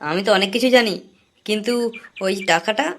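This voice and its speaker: A man's voice: high-pitched, a little fast, a bit bright. A man voicing a cagey, money-minded young informer in a comic cartoon, wheedling and chatty. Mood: eager, bargaining.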